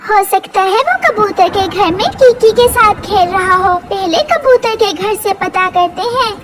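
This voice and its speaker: A woman voicing a firm, caring mother sparrow in a cartoon, brisk and scolding. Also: very high voice.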